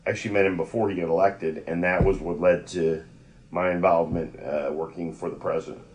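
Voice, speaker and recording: Middle-aged American man, low register, interview guest spinning rambling personal anecdotes conversationally. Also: steady tone, brisk, a little mellow, a little hiss or hum, poor audio. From United States (Yonkers, New York). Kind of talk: conversational oral-history storytelling.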